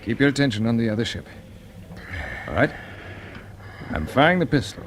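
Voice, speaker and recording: A man's voice: mid-range voice, moderate pace, a little hiss or hum, lightly acted. Man acting commanding British space pilot, 1950s radio. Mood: brisk, steady. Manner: clipped, steady, instructive. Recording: vintage radio broadcast with music behind.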